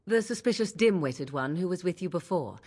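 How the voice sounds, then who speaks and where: A woman's voice: lowish voice, lively intonation, a little fast, a little mellow. An actress playing a cool, disdainful young witch in a video game, with dry, formal, archaic phrasing. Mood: dry, aloof.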